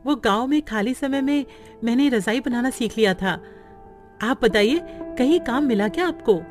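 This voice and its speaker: A woman's voice: on the high side, lively intonation, normal speed, faint music behind, natural voice. A woman voicing a caring, soothing and respectful young wife in a cartoon story. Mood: polite. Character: caring, reassuring young wife of a poor man.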